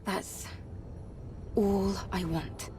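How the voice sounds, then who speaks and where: A woman playing an earnest, solemn young female warrior in a dramatic video game, speaking with measured resolve. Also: medium pitch, fairly even intonation, recorded from a distance, noisy background. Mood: solemn, resolute.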